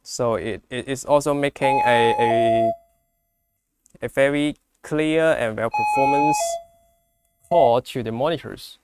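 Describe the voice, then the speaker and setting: A man who speaks English as a second language gives an unscripted product demonstration, explaining step by step in a halting way. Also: mid-range voice, huge pitch swings, very noisy. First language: not English. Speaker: product presenter.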